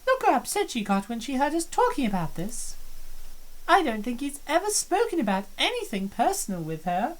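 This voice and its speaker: A woman's voice: sits high, big pitch swings, normal speed, rough recording. A woman voicing a confident, teasing plump college student in a fan-voiced visual novel. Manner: self-assured, teasing.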